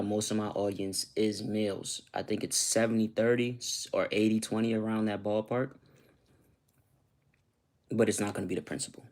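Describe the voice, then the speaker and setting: A man's voice: lowish voice, vocal fry. A man from Costa Rica, a YouTuber, chatting loosely with his viewers and often asking "you know what I'm saying". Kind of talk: casual livestream chat with viewers.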